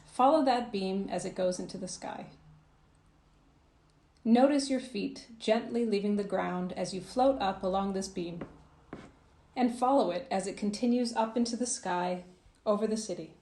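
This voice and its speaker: Woman guiding a meditation in a roomy echo. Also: medium pitch. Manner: soothing, gentle, measured with trailing phrase endings. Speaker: coach guiding a visualisation. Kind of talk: guided meditation, scripted narration.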